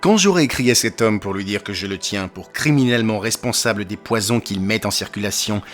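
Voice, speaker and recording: Guy playing a sharp provocative detective, audio drama, theatrical, pitch jumps around, bit of music behind. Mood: grave, warning. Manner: theatrical, declamatory. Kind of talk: French audio drama acting.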